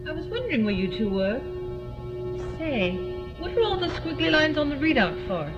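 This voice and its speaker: A woman's voice: high voice, sing-song, normal speed. A woman playing a curious, plain-spoken companion in a science fiction audio drama, with an informal, questioning manner. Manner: inquisitive, informal. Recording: lo-fi audio under music.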